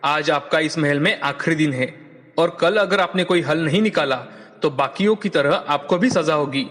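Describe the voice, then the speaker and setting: A man's voice: on the high side, moderate pace, a bit bright, close to an everyday voice. A man playing a stern, commanding king in children's story dialogue, speaking formally. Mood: stern, warning. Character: stern, authoritative king warning a young man. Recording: long hall reverb, music underneath.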